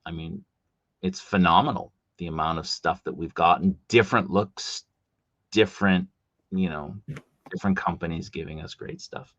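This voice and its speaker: A man's voice: low-pitched, moderate pace, a bit bright, no room sound, highs cut off. A middle-aged man with a Boston accent hosting a casual livestream, chatting and reading out comments from viewers. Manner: chatty, reads chat comments aloud. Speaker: livestream host. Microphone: desktop mic.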